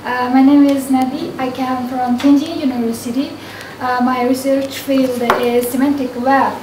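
A woman's voice: high voice, flat delivery, normal speed, noisy background. A woman researcher introducing herself hesitantly to a class, with many fillers. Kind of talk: self-introduction. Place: large classroom. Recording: echoey classroom from far off.

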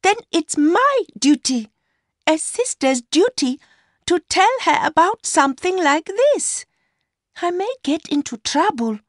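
A woman voicing a timid young woman, meek and hesitant but softly stubborn, in an audiobook story. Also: very high voice, big pitch swings, slow, no room sound, clean studio sound, voice pushed up, exaggerated voice. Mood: anxious, pleading. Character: timid young woman, rich older man's secret lover. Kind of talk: acted dialogue in audiobook story.

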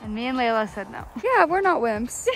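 A woman's voice: fairly high pitch, very animated, a little fast, faint music behind. A young woman chatting upbeat to her phone camera as a vlogger, outdoors and in a ski lodge. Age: young adult. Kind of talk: casual vlog narration. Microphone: handheld phone camera.

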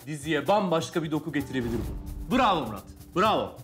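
An actor playing a brisk, demanding casting director in a sitcom, giving prodding instructions. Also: male voice, on the high side, big pitch swings, a bit bright, soft background music. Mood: approving. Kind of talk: naturalistic sitcom acting.

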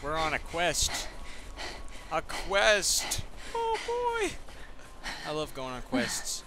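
A man's voice: a little high, huge pitch swings, extremely slow, background noise. A man playing a video game and chatting casually, with a playful, joking manner. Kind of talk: casual banter during co-op gameplay. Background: video game music and sounds.

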